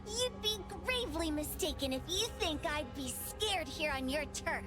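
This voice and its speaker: Feisty young girl fighter, very high pitch, swooping delivery, excitable, anime game. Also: normal speed. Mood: indignant, defiant. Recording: loud music under the voice.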